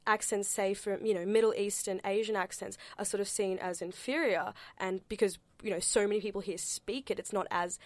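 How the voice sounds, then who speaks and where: A woman's voice: medium pitch, steady tone, brisk, few pauses, dry recording. A young woman with an Australian accent whose first language is not English, speaking in a discussion with many fillers and restarts. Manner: hesitant, full of fillers and restarts. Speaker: panel speaker.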